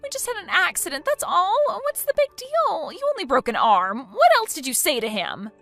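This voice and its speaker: A woman's voice: a little high, huge pitch swings, a little fast, fairly bright tone, no room sound, exaggerated voice. A woman voicing a selfish, entitled young woman who reacts with whiny, indignant outbursts.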